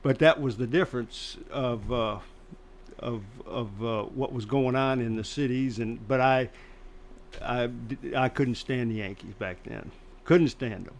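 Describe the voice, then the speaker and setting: Older man from Chicago, chatty storyteller, mid-pitched voice, animated delivery. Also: measured pace, a little hiss or hum. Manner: animated, laughs through his stories. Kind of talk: casual storytelling.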